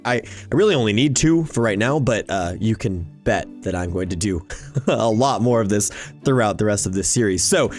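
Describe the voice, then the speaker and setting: A man's voice: fairly low pitch, expressive, moderate pace, a little mellow. A man giving casual, enthusiastic gameplay commentary, chatting and rambling as he hosts. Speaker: video game YouTuber, host. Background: background music.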